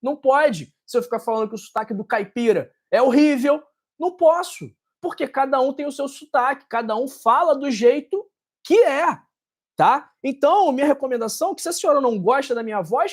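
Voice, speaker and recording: A man's voice: extremely high pitch, lively intonation, a little fast. A man with a Carioca accent from Rio de Janeiro, a doctor talking to camera in a direct, scolding way, ending many sentences with a tag question. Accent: Carioca Brazilian Portuguese. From Rio de Janeiro, Brazil. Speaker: doctor and health channel host. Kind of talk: casual talk to camera, answering viewer comments.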